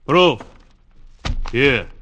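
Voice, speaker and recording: Male for blunt, threatening hired killer; extremely deliberate, broken phrasing, dry radio-play recording. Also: mid-range voice, animated, dry recording, highs cut off, full character voice. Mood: menacing. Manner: terse broken English, forceful. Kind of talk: 1940s-50s radio drama acting.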